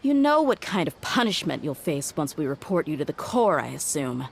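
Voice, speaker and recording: A woman's voice: low voice, very animated, normal speed, gravelly, a little hiss or hum, exaggerated voice. An actress voicing a tough, blunt, confident young mercenary woman in a video game, speaking assertively with a sardonic, threatening edge. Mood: confident, menacing.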